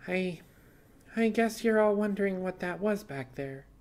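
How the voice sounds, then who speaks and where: A man's voice: sits high, expressive, measured pace, a little mellow, pitched above the performer's own voice. A man voicing a young female rabbit fugitive in audio fiction, resigned and apologetic, talking in a plainspoken, hesitant, confessional way. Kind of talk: audio fiction character dialogue.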